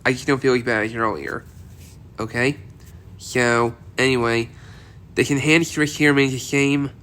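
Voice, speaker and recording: A man's voice: mid-range voice, steady tone, moderate pace, a bit bright. A man with a cold and a stuffy, congested voice, hosting a casual weather outlook update and rambling with frequent restarts and repetition. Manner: rambling, repeats himself with many restarts. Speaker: weather video host.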